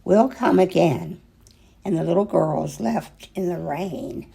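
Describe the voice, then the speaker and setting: An older woman reading a children's picture book aloud in an expressive, gentle way, pausing dramatically between short phrases, with a husky, gravelly voice. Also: lowish voice. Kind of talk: children's storybook read-aloud, slow and expressive.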